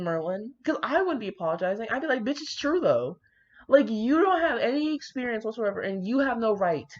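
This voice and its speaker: A woman with a fairly low voice, recorded in muffled lo-fi sound. She talks casually as a video creator reacting to fan fiction, with a brash, slangy, theatrical delivery. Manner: brash, profane, slangy, exclamatory, dramatic. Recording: dull lo-fi audio.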